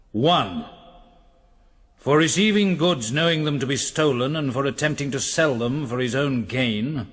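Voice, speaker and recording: An actor playing a stern army officer in a radio drama, reading out formally and deliberately with authority. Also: male voice, medium pitch, close to an everyday voice. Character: stern British army officer presiding over court-martial. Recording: long echo of a large hall.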